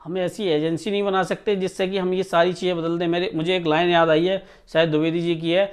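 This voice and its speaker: A man's voice: sits high, level tone, a little fast, clean tone. A man speaking informally to students as a coaching teacher, emphatic and often checking that they follow. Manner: emphatic, repeatedly checks listeners understand. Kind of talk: informal exam news talk to students.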